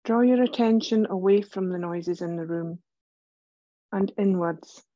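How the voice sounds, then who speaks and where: Woman, guided meditation teacher, mellow tone, unhurried, gentle, soothing, dry muffled recording. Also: mid-range voice, no room sound. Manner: gentle, soothing, measured with pauses. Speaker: yoga nidra meditation teacher.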